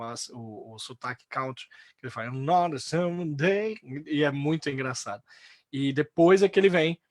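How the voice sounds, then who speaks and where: A Brazilian man teaching an online English lesson, lively and full of fillers and restarts. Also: a little high, no room sound, studio quality.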